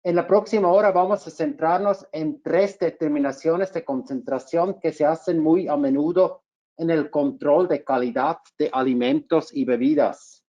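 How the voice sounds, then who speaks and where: Swiss German man in his second language, fairly high voice, careful technical webinar delivery, muffled lo-fi audio. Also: normal speed, mellow. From Switzerland. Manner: careful, with frequent restarts and fillers. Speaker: market manager presenting a webinar.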